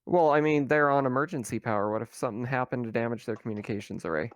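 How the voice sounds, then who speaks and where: A man's voice: mid-range voice, quick pace, noisy background. A man chatting casually as a player at a tabletop role-playing game, sometimes speaking for his character.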